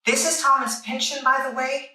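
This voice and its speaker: A woman's voice: high-pitched, steady tone, moderate pace, sharp tone. A woman hosting a review video, narrating from a loose script in a wry, dryly humorous way. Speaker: comic book reviewer, video host. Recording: echoey room, distant microphone.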